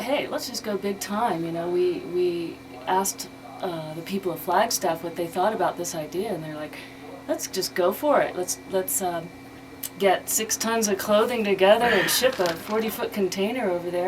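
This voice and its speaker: A woman's voice: lowish voice, moderate pace. A woman speaking conversationally as a charity organizer being interviewed as a guest on the radio. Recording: clearly noisy radio interview recording.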